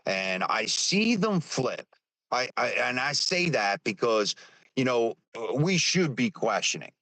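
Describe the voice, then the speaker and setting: A man in his fifties talks in a plain, middle-pitched voice, stopping and repeating words as he gathers his thoughts. The sound is close and dry, with no room around it. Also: big pitch swings, pushed and bright, dry recording, rough recording. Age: 50s. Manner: halting, repeats words, many fillers. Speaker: speaker on a live stream. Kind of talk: casual opinion talk.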